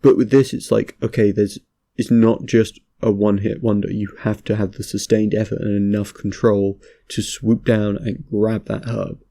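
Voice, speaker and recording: A man with a cold, speaking in a stuffy voice as he rambles casually through an anime review, halting with many restarts. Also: fairly low pitch, little pitch movement, warm tone, no room sound. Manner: halting, lots of restarts and fillers.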